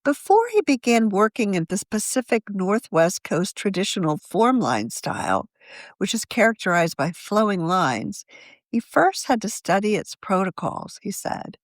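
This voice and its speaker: A woman reading a written article aloud as a magazine editor, in a measured, journalistic manner of scripted narration. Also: mid-range voice, sing-song, dry recording, clean studio sound.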